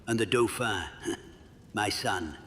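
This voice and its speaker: A man's voice: slow pace, pushed and bright. A man playing a stately medieval king, formal and declamatory, in an audio drama. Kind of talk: ceremonial announcing in audio drama. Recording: big hall reverb, faint rumble.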